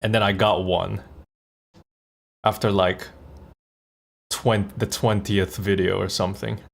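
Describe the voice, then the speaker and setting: A man's voice: on the low side, flat delivery, slow pace, creaky. A man chatting casually on a live stream as host, slangy and wry, rambling from one thought to the next. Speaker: streamer and voice actor. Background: faint music.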